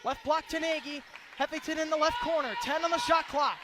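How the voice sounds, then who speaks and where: A man doing excited, projected radio play-by-play of basketball in short, clipped, rapid-fire phrases. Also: very high voice. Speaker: play-by-play commentator. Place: indoor basketball arena. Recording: loud crowd and gym noise. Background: crowd noise and bouncing basketball.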